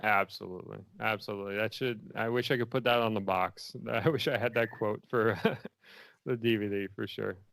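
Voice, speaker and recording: A man's voice: on the low side, steady tone, brisk, vocal fry, fairly bright tone, dry recording. A man chatting casually as an interview guest, informal and buddy-like, often repeating words for emphasis. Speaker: podcast guest, independent filmmaker.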